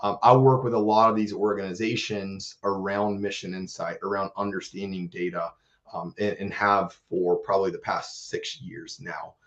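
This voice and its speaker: A man with a hoarse voice, recovering from illness, earnestly presenting in a church webinar. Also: low-pitched, little pitch movement, normal speed, fairly bright tone. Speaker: church outreach manager. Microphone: video call. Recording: dull lo-fi webinar audio.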